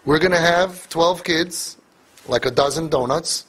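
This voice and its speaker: A man's voice: on the high side, a little slow, fairly bright tone, slightly rough, poor audio. A man giving an emphatic motivational talk to parents, repeating phrases for force. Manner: emphatic, repeats phrases, mixes in Yiddish and Hebrew words. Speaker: speaker addressing parents.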